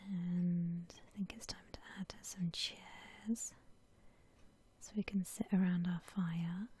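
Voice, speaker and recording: A woman whispering gently and unhurriedly in a soft, breathy ASMR style, musing aloud as she comments on a game. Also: on the low side, steady tone, slow pace. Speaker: host of an ASMR gaming channel.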